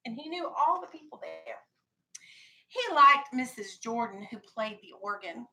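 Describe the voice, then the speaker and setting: A woman teacher reading a storybook aloud to young children, animated, stopping to explain and ask questions. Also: on the high side, unhurried. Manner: animated, explains and checks understanding. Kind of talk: expressive children's read-aloud with questions to the class.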